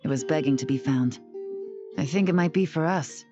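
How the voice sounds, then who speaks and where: A woman playing a composed, analytical investigator in an anime video game, measured and thoughtful in delivery. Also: low voice, lightly acted. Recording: muffled game audio under music.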